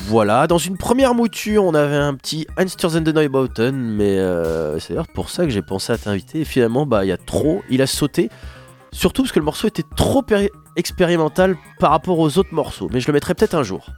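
A man hosting a radio music show, talking casually with a stuffy nose and a hoarse voice, rambling with many fillers. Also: on the high side, very animated, a little fast, a little mellow. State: congested and hoarse. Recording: radio studio with faint music underneath. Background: faint instrumental music.

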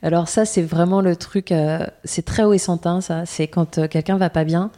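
A woman's voice: lowish voice, fairly even intonation, moderate pace, warm tone. A young woman from Brittany, a singer, answering interview questions with many hesitations and restarts.

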